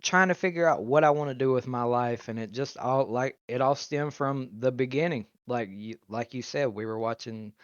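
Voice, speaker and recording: Young dude chatting over a video call, muffled dry sound, gets loud when he tells stories. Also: medium pitch, normal speed, few pauses, no echo. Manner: loud, animated storytelling with laughs. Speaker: YouTuber, interviewee. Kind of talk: casual interview chat. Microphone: video call mic.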